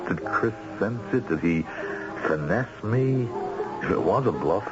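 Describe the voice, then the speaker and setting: An actor playing an anxious, self-doubting executive short of money, with hesitant, stammering delivery in the style of 1970s radio drama. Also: male voice, lowish voice, animated, measured pace. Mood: troubled, despairing. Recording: dull old radio drama recording, music behind.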